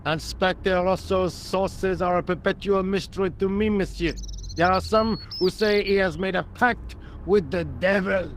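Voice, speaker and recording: An actor playing a weary, condescending French police sergeant in a video game, formal and droll, with a French accent. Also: male voice, sits high, steady tone, normal speed, a little hiss or hum, close to an everyday voice. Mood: droll, ominous. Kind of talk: video game dialogue acting.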